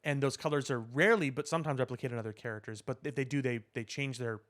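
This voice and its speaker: A man's voice: mid-range voice, fast, a bit bright. Opinionated man explaining at length, casual. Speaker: podcast co-host. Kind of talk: casual pop culture discussion.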